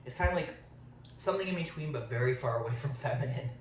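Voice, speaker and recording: A man's voice: mid-range voice, expressive, fast talker. A man in his thirties chatting casually while eating on camera, rambling and saying um a lot. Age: 30s. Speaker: vlogger. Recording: roomy, distant, faint hiss.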